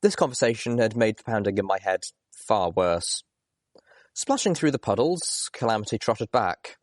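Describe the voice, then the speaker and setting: Low-voiced man reading a story. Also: expressive, normal speed, no room sound, clean studio sound. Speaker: audiobook reader. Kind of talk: expressive first-person story narration.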